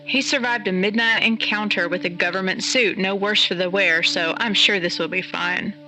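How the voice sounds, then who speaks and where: Woman acting blunt skeptic, bright crisp-edged voice. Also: medium pitch, steady tone, normal speed, lightly acted, rough recording. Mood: skeptical, sardonic. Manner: blunt, sardonic. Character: pragmatic, skeptical, exasperated woman in a mystery. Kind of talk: naturalistic audio drama dialogue.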